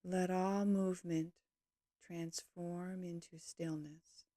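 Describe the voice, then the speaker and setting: Woman, low-pitched, soft mellow tone, calm guided meditation, measured short phrases, dry close sound. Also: dry recording. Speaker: yoga nidra teacher guiding a meditation. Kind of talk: guided meditation, slow instructional narration.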